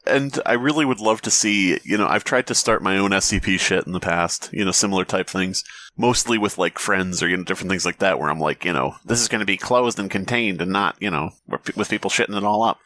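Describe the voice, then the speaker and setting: A man hosting a podcast in casual, animated banter, swearing freely. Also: low-pitched, fast talker, no room sound. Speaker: podcast host.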